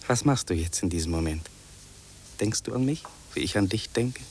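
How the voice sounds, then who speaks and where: An actor playing a lovelorn, earnest young jobless drummer in a 1950s German radio drama, declaiming lyrically. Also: male voice, fairly low pitch, expressive, moderate pace, darkish tone, slightly rough. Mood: longing. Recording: old radio play from cassette tape.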